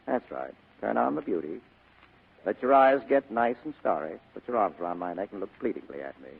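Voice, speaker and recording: Gravelly, tough American private eye. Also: male voice, on the low side, animated, fairly quick, heavily acted. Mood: mocking, skeptical. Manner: blunt, sardonic, commanding. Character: tough, cynical male private detective. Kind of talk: 1940s radio drama acting. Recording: tinny muffled 1940s radio broadcast.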